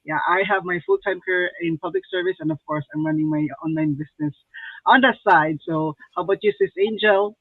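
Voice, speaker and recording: A high-pitched man hosts a live online show, filling his pauses with plenty of ums and uhs. His voice is bone dry and squeezed through a narrow, phone-like line, so it sounds thin and tinny. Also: sing-song, gravelly, no room sound. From Philippines. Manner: hesitant, many fillers. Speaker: host.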